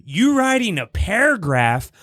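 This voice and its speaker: A man co-hosting a comedy podcast in casual chat, ranting quickly and swearing freely. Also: high-pitched, sing-song, pushed and bright, no room sound. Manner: rants fast and swears freely. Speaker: podcast co-host.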